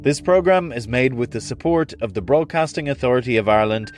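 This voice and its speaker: A man's voice: mid-range voice, a little fast. A man acting as announcer, reading scripted end credits for an audio drama. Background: music.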